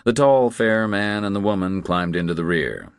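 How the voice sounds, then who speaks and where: A man's voice: on the low side, normal speed, clean tone, dry recording, studio quality. A man reading scripted thriller narration as an audiobook narrator.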